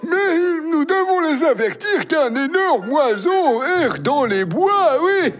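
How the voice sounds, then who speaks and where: A man's voice: extremely high pitch, big pitch swings, takes it slowly, a bit bright, faint music behind, exaggerated voice. A man playing a fussy, boastful old grandfather in a French cartoon dub, rambling and indignant. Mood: fussy, alarmed. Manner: blustery, rambling, peppered with tag words.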